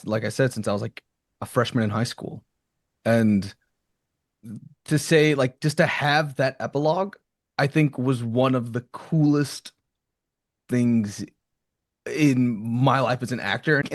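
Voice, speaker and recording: Male actor, relaxed conversational delivery, medium pitch, no room sound. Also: dry recording, clean studio sound. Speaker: voice actor on an audio drama. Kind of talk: casual chat, reminiscing.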